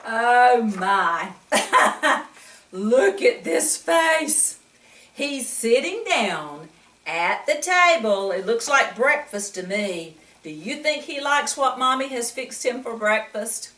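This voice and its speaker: A woman reading a picture book aloud to children in an animated way, often putting questions to the listener. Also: on the high side, slow pace. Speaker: children's story reader. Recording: echoey room, distant mic.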